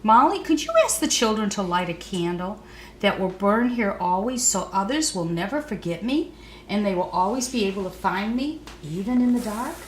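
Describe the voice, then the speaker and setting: A woman's voice: mid-range voice, expressive, moderate pace, lightly acted. A woman voicing a caring, eager little girl in a children's storybook, earnest and emphatic. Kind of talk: children's storybook read-aloud. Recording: roomy echo, faint background noise.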